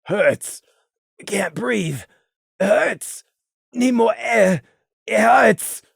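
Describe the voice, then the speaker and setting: A woman voicing a badly wounded male pony who murmurs faintly in halting, breathless fragments. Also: on the high side, expressive, vocal fry, husky, dry recording, studio quality, voice pushed up, full character voice. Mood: faint pained. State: weak, struggling to breathe.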